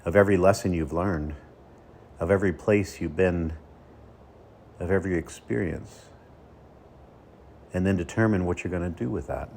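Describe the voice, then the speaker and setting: A man leading a guided meditation as a coach, speaking slowly and soothingly with deliberate pauses between phrases. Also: deep voice, creaky, a little mellow, a little hiss or hum.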